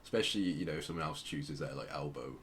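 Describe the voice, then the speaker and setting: A man hosting a podcast, talking casually and presenting in a halting, hesitant way. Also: a bass voice. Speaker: podcast host. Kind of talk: casual podcast presenting with some read-out passages. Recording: noticeable background noise.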